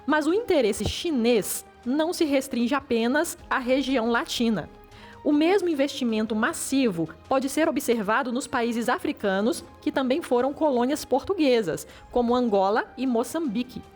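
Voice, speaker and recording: A woman's voice: high-pitched, animated. Woman narrating over faint music. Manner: measured, formal delivery. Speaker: language teacher. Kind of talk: scripted educational narration. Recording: played-back video with faint music.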